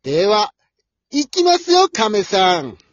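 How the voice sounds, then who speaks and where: A woman's voice: mid-range voice, wildly swooping pitch, slow, a little hiss or hum. A woman voicing a cocky young male hare in a comic radio drama, showy and exclamatory. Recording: dull, lo-fi recording.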